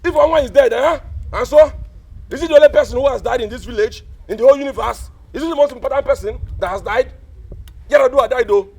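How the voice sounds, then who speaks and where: A male actor playing a callous, scheming village elder with a Nigerian accent, scolding and repeating himself in loud, theatrical film style. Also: very high voice, fast talker, husky, full character voice. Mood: defiant, callous. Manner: blustering, repetitive, scolding.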